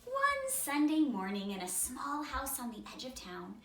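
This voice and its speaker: A woman reading a picture book aloud to children, expressive and deliberate, with simple asides. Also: high-pitched. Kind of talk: children's read-aloud narration. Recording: roomy, distant, noisy home recording.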